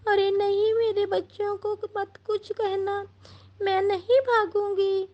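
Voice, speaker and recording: A woman's voice: extremely high pitch, level tone, slow, darkish tone, dull audio, pitched above the performer's own voice. A woman voicing a worried, pleading mother sparrow in a cartoon story. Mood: anxious.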